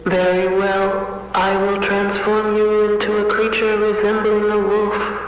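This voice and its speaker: A woman playing a cold witch in an analog horror fairytale, speaking in a measured, emotionless, ominous way. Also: mid-range voice, monotone, faint music behind, lightly acted. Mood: ominous, commanding. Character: cold, bargaining witch telling a dark fairytale. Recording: very echoey, distant, faintly noisy reverb.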